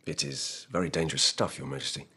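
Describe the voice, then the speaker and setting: A very deep, hoarse-edged old lawman of an imperial court in a radio play. He is grave and deferential, choosing his words with formal care. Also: fairly even intonation, fast, pushed and bright, exaggerated voice. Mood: formal, cautious. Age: older. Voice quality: gravelly, husky. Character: grave, dutiful ageing Japanese court policeman, physically frail. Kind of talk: radio drama acting.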